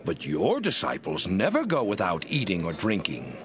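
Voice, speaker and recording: A swooping, accusatory religious objector. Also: male voice, low-pitched, wildly swooping pitch, normal speed. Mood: accusing. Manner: accusatory, pointed questioning. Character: critical, questioning male religious objector in ancient Judea. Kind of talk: dramatised scripture acting.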